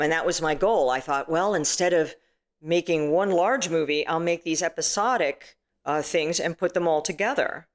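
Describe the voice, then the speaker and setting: Middle-aged lady guest, big booming voice, rambles with lots of you knows. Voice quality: booming stage-trained voice. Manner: chatty, many "you know"s and restarts. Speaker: filmmaker and actress guest. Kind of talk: casual interview chat.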